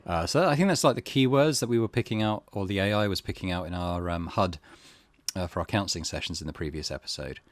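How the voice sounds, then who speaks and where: Low voiced guy hosting a let's play, musing n reading along, dry close sound. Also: quick pace, dry recording. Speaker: gaming channel host. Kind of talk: casual let's play, reading on-screen text and commenting.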